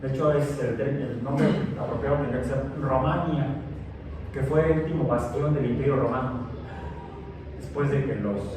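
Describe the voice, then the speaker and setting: A man giving a digressive science lecture in a classroom, full of fillers and restarts. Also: medium pitch. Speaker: science teacher. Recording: echoey room, distant mic, noisy.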